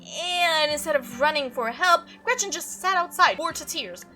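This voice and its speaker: Woman voicing a high, excitable young cryptid hunter. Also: normal speed, faint music behind. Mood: breezy reminiscing. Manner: animated, gushing storytelling. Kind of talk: visual novel character read-aloud.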